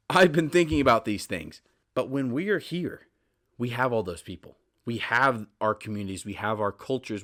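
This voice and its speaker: A man's voice: mid-range voice, a bit bright. A man hosting a podcast, speaking casually and reflectively in an earnest, motivational way with emphatic repetition. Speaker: podcast host.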